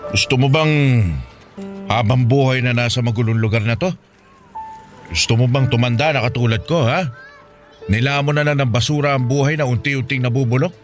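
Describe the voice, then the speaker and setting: A man's voice: medium pitch, steady tone, a little fast, lightly acted. Guy playing filipino dad figure in a radio drama, poor, stern but loving, emotional scolding and pleading, music behind. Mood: exasperated, firm.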